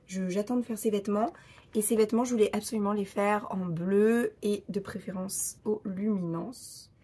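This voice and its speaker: Hoarse young woman vlogging casually. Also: mid-range voice, steady tone, normal speed, warm tone. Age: 30s. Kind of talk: casual vlog chat.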